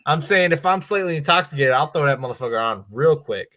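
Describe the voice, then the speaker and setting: A man with a Boston accent hosting a podcast, giving loud, profane, emphatic opinions in casual chat. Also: fairly high pitch, lively intonation, quick pace. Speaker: podcast host. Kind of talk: casual chat about movies and toys.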